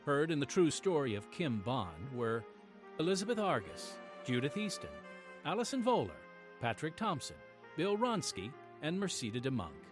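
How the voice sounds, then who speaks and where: Man, radio host, scripted announcing, lively swooping intonation, earnest, music underneath. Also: a little high, normal speed. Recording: music bed behind the voice.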